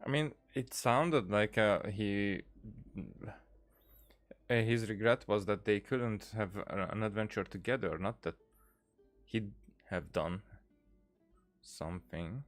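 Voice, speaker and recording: A man giving casual gameplay commentary and reading dialogue aloud, halting as he thinks out loud. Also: on the low side, soft background music. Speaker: streamer, let's-player.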